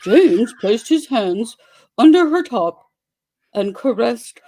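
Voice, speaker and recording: A woman giving a theatrical dramatic reading of a story, with exaggerated delivery and dramatic pauses. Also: a little high, very animated, mellow. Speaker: dramatic reader.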